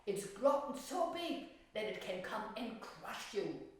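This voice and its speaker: A portentous dog from a children's tale, voiced by a woman storyteller, slow to speak and full of warning. The sound is very echoey, with the microphone at a distance. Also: mid-range voice, very animated, slight background noise. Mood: ominous warning. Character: dog giving a small flower an ominous warning.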